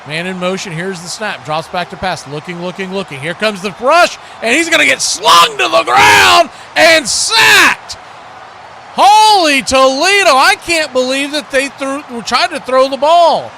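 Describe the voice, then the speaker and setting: An American man doing live football play-by-play commentary, conversational between snaps and animated on big plays. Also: very high voice, unhurried, raised voice, a faint crowd, slight background noise. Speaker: play-by-play sportscaster.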